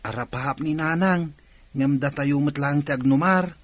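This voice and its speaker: An actor playing an earnest, upbeat teenage younger brother. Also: male voice, fairly high pitch, measured pace, dry recording.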